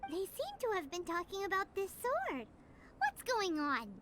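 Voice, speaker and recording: A woman voicing a tiny, excitable, curious childlike fairy companion in an anime-style video game, full of squeaky exclamations and wide-eyed questions. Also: unhurried, noisy background, full character voice. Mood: curious, uneasy. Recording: studio voice over game music. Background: background music.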